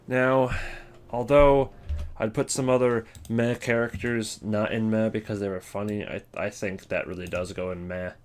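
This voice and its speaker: A man with a lowish voice talks off the cuff like a host ranking things, rambling as he thinks aloud. Keyboard taps and mouse clicks sit behind him. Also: steady tone, normal speed. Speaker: host ranking video game voice lines. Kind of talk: casual unscripted commentary.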